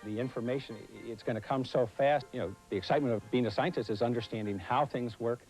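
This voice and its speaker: A man, a research scientist, explaining things in an unscripted interview, deliberately stressing key words. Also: medium pitch, a little mellow, rough recording. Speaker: scientist, research team leader. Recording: 1980s TV interview, faint music.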